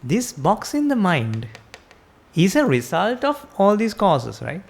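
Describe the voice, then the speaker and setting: A woman's voice: mid-range voice, wildly swooping pitch, takes it slowly, vocal fry, slightly rough. A woman with a Sri Lankan accent giving a Buddhist sermon, explaining step by step and asking her listeners rhetorical questions. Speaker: Buddhist teacher.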